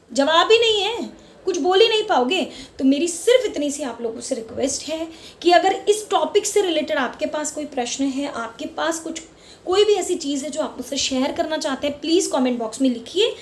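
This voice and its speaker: A middle-aged Indian woman hosting her own vlog, speaking with passion, repeating words for emphasis and mixing English words into her Hindi. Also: high voice. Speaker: channel host. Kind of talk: casual opinion vlog. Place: lives in Mumbai.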